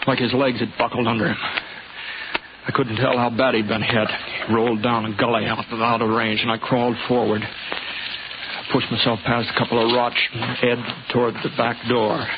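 A man's voice: mid-range voice, dull audio, natural voice. An American man acting a laconic, folksy drifter gunslinger in a 1950s radio western, on a hissy old recording. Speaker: lead actor and narrator.

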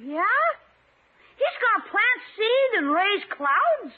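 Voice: very high voice, sing-song, takes it slowly, full character voice. An actor playing a brash, wisecracking young nephew in a 1950s radio comedy. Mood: incredulous. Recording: thin, narrow 1950s radio recording.

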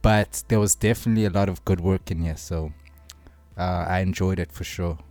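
A man's voice: low voice, steady tone, brisk, a little mellow, soft background music. A man from South Africa co-hosting a podcast, talking in an easygoing, slangy way. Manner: easygoing, slangy, laughs with friends. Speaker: podcast co-host. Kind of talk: casual chat.

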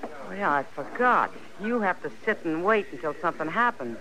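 Animated, outspoken frontier woman. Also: on the low side, normal speed, poor audio. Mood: urgent, pleading. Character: outspoken, caring frontier woman, bold and concerned. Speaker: actress in a radio western. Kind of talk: 1950s radio drama acting.

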